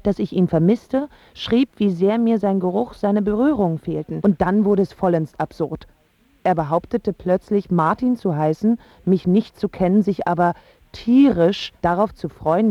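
A woman's voice: medium pitch, no echo, muffled recording. An actress playing a lovesick, bewildered young woman who narrates her story plaintively and emphatically in a radio drama. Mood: bewildered, indignant.

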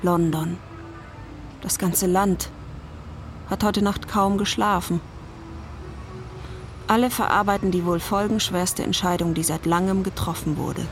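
A woman's voice: on the low side, steady tone, unhurried, long pauses, soft background music. A woman giving a scripted dramatic narration in the third person, as the narrator of a stage play.

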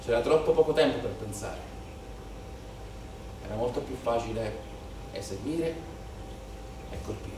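A man's voice: medium pitch, lively intonation, measured pace, long pauses, close to an everyday voice. An actor playing a troubled policeman in an intense theatrical monologue with repetitive, rhetorical phrasing. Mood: troubled, subdued. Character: troubled young policeman confessing his part in riot violence. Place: theatre stage or performance space. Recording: very echoey room, distant mic, some noise.

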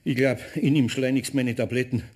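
A man's voice: mid-range voice, fairly even intonation, normal speed, darkish tone, natural voice. Man playing a grumbling older Bavarian man. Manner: hesitant, exasperated, sighing. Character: flustered, grumbling, ailing older Bavarian master craftsman. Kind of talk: German radio play acting.

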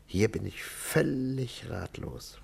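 A man's voice: medium pitch, takes it slowly, gravelly, breathy edge, exaggerated voice. An actor playing a worried middle-aged psychiatrist and father in a 1950s German radio play, formal and hesitant in manner. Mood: worried, helpless. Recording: 1950s radio drama recording.

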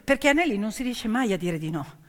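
A woman storyteller performing a theatrical narration with emphatic delivery and deliberate dramatic pauses. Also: fairly high pitch, sing-song. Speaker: stage storyteller and actress. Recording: piano music behind the voice. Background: piano accompaniment.

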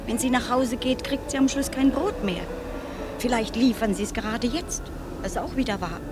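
A woman's voice: on the high side, normal speed, background noise, natural voice. An actress playing a kindly, fussing woman in a bread queue, motherly and coaxing, in a radio drama.

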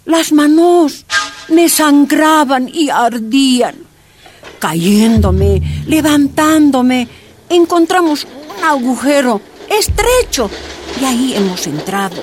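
Boliviana cansada y resuelta, habla pausada. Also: female voice, high-pitched, lively intonation. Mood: pained, weary. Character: weary, resolute Bolivian miner's wife recalling hardship. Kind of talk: dramatized first-person radio narration. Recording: music behind the voice.

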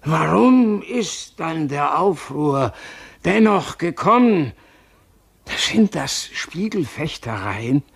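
A man's voice: mid-range voice, gravelly, husky, exaggerated voice. An actor playing a tormented, fearful, imperious king in a German radio play, declaiming with anguish. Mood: desperate demanding. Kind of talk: German radio play, theatrical dramatic acting.

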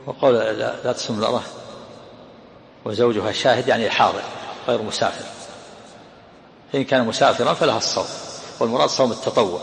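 Gravelly fast-talking teacher in an echoey hall. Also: male voice, mid-range voice. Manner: explanatory, repeats phrases. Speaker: sheikh explaining hadith. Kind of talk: hadith commentary lesson. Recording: echoey hall, faint noise.

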